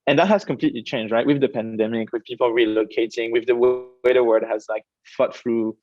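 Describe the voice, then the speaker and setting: A young man from France, a startup co-founder, answering interview questions quickly and animatedly, with frequent fillers. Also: medium pitch, fairly even intonation, a little mellow. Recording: dull, dry lo-fi audio.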